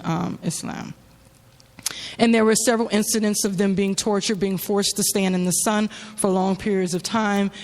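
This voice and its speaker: A woman giving a semi-prepared religious talk in a halting, reflective way, telling a story with frequent pauses and fillers. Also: mid-range voice, darkish tone. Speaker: speaker giving a religious address. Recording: long hall reverb.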